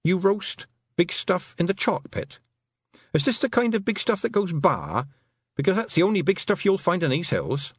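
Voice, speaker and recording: A man voicing an earnest, sharp-witted young girl who is a witch. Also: high-pitched, very animated, normal speed, slightly rough, no echo, clean studio sound, pitched above the performer's own voice, full character voice. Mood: demanding.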